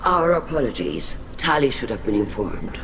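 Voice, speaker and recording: Alien admiral, mid-range voice, solemn, ceremonial, measured phrasing, video game dialogue, noticeable ambient noise. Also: male voice, mid-range voice, big pitch swings, gravelly, fairly bright tone. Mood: grave. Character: solemn alien elder admiral presiding over a trial.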